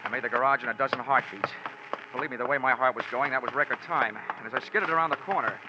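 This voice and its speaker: An actor playing a hard-boiled, wisecracking investigator with an American accent, wry and deadpan in 1940s radio detective style. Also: male voice, mid-range voice, fast talker, lightly acted. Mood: tense, dramatic. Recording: muffled, hissy 1940s radio recording.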